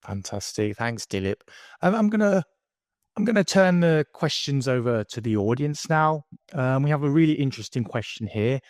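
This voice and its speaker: A man moderating a webinar, posing questions in a polite, measured and slightly hesitant way. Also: medium pitch, animated, dry recording, clean studio sound.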